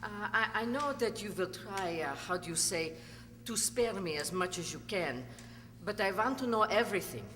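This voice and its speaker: A woman's voice: medium pitch, animated, normal speed, fairly bright tone, lightly acted. A woman in a radio play acting a cold, bitter, secretive former actress with a theatrical, haughty delivery. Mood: guarded, polite. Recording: echoey hall, noisy hum.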